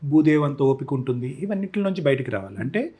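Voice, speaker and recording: A man with a Telugu accent and a husky voice, explaining his points emphatically as an expert guest in a conversational interview. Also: medium pitch, expressive, quick pace, dark tone. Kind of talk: conversational interview commentary mixing Telugu and English.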